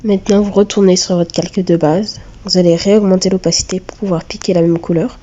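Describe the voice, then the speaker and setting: A woman with a cold giving a step-by-step drawing tutorial, talking hesitantly with many filler pauses. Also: lowish voice, steady tone, mellow, lo-fi sound. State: has a cold. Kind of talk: screen-recorded step-by-step tutorial.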